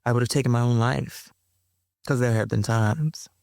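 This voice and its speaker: A woman guest and performer in a reflective interview, speaking slowly and pausing to find her words, with slangy asides. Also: very deep voice, creaky, darkish tone, dry recording, clean studio sound.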